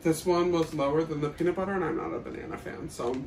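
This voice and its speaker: A man's voice: on the high side, fairly even intonation, fast. A young man co-hosting a casual vlog, chatting playfully and colloquially to the camera. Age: young adult. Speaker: vlog co-host. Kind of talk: casual grocery haul chat to camera. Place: small kitchen at home, indoors. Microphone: camera a few feet away. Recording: roomy, distant mic, faint noise.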